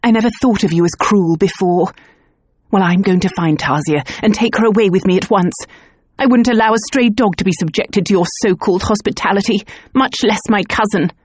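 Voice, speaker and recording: A woman's voice: mid-range voice, fairly quick, slightly rough, no echo, close to an everyday voice. A woman voicing a fierce, indignant young woman defending her cousin. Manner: indignant, sharp.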